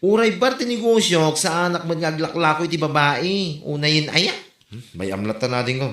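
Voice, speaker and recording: A man's voice: on the high side, expressive, fairly quick, a bit bright. A man with an Ilocano accent acting as an aggrieved, protective older sibling in a comedy drama. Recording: roomy, echoing room sound.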